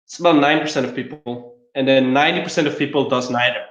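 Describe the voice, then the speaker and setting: A man's voice: medium pitch, fast talker, a bit bright. A man from Belgium, explaining things casually and step by step as an interview guest. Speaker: interview guest, LinkedIn marketer. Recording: roomy, slightly dull online-call audio.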